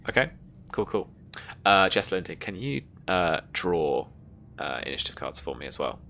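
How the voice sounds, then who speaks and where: Low, gravelly, bright-voiced game master. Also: very animated, normal speed, a little hiss or hum. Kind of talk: running a tabletop roleplaying game, casual.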